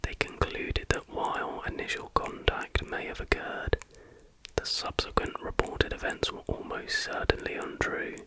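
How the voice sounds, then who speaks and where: An ASMR host speaks entirely in a soft, unvoiced whisper. The delivery is breathy and unhurried. It sounds like informal reading aloud, made for close listening. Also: low-quality recording.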